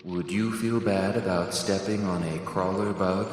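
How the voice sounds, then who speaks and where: Man playing a smug low-voiced alien, heavy echo. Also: fairly even intonation, a little slow, slight background noise. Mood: cold, dismissive. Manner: casual, condescending, assured. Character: smug, coldly dismissive higher-dimensional alien student. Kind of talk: audio horror drama acting. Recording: deep echoey hall, faint music.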